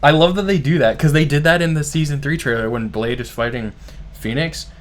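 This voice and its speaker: A man hosting a live stream, reacting to a game trailer in casual, excited, rambling talk full of "like". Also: fairly high pitch, brisk. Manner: excited, rambling, heavy use of "like". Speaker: streamer host.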